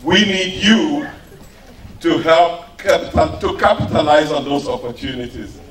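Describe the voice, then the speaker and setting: A man's voice: a little high, a bit bright. A man from Cameroon giving an earnest, emphatic public speech at an event. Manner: earnest, emphatic, slow rising phrases. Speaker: event speaker. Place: event hall. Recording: echoey hall, distant mic, faint noise.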